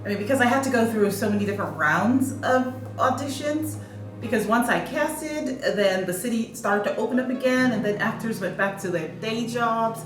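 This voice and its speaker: Woman director talking things over slowly, lots of um and uh, roomy sound from across the room, music behind. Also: fairly high pitch, animated. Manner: reflective, unhurried, with fillers. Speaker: theatre director. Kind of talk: behind-the-scenes interview. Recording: echoey room, distant mic, music behind.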